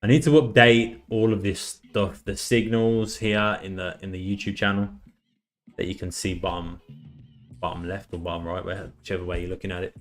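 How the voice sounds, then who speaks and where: Guy chatting on a live stream, voice going a bit hoarse, low-ish, faint music behind. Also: steady tone, moderate pace. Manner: chatty, reads out chat messages, many restarts. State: losing his voice. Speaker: livestream trader. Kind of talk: casual live stream commentary.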